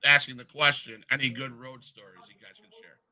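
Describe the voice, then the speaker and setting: Bright, tense-voiced male interviewer. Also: medium pitch, little pitch movement, a little fast. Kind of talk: casual interview.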